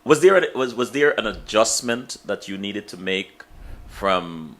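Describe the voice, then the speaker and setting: A man's voice: fairly low pitch, lively intonation, measured pace, fairly bright tone. A man hosting an interview, asking long, searching questions with many stammered restarts. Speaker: interview host.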